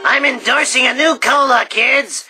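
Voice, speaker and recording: A man playing a manic, raspy showbiz clown hawking products to kids in a brash cartoon commercial patter. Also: very high-pitched, a little slow, loud music behind the voice. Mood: brash hype. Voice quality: raspy, rough.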